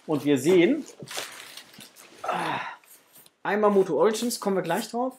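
A man's voice: high voice, expressive, a little slow, background noise. A man in his thirties or forties chatting casually and affectionately to viewers as the host of an unboxing vlog. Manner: effusive, affectionate toward viewers. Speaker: host of a toy unboxing channel. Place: small indoor home room.

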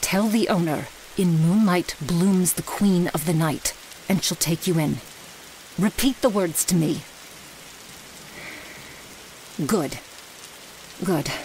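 A woman's voice: on the low side, a little mellow, slight background noise, low-quality recording. A woman acting an elegant, menacing vampire mistress in an audio roleplay, speaking theatrically with touches of French. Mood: urgent. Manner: slow, theatrical, sprinkles French phrases.

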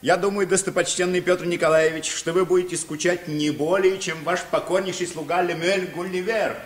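Ceremonious gentleman traveler, played by a man, high voice, formal, courteous, echoey old radio drama. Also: steady tone, moderate pace, lightly acted. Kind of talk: old Soviet children's radio play acting.

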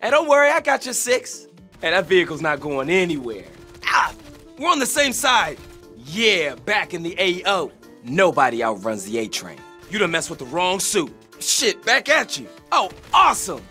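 Casting: brash American super-fast hero for a shooter game; high, swooping pitch, slangy swagger, short punchy callouts over music. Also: male voice, wildly swooping pitch, pushed and bright, heavily acted. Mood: cocky, hyped. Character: cocky, boastful super-fast superhero soldier, young man. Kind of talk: video game operator voice lines.

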